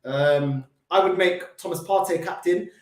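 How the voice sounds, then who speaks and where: A man's voice: high-pitched, a little slow, darkish tone. A man with a London accent, co-hosting a casual football fan live chat, bantering in slang and reading out comments. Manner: banter-filled, laughs often, London slang. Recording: roomy echo.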